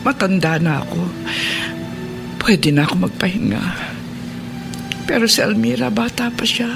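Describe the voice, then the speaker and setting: An old Filipino mother, anxious and devout, with a creaky, widely swinging voice. She speaks earnestly in radio drama style over music. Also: mid-range voice, expressive, moderate pace. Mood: tearful prayer. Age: older. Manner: earnest, emotional. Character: prayerful elderly mother, anxious, self-sacrificing.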